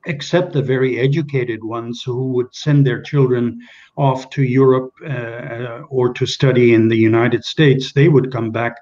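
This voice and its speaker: A man's voice: mid-range voice, fairly even intonation, unhurried, a little mellow, lo-fi sound. An older man from Kenya whose first language is Czech, speaking as a guest on a video call interview and trailing off into many hesitations and restarts. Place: small indoor room at home. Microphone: webcam on a video call.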